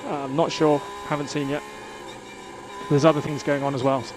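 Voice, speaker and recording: Race engineer guy, slightly high voice, very noisy radio, short updates to the driver. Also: little pitch movement, moderate pace. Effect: team radio. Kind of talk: team radio instructions during a motor race. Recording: very noisy team radio link.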